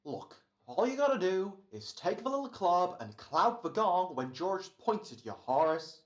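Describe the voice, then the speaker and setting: An actor playing a tired, wisecracking but soft-hearted radio actor in an old-time American radio comedy. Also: medium pitch, very animated, measured pace. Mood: wry. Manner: weary, sardonic, slangy.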